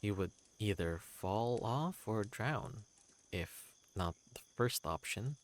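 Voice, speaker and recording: A man's voice: fairly low pitch, expressive, slow pace. A man reading scripted second-person narration from a fantasy game aloud.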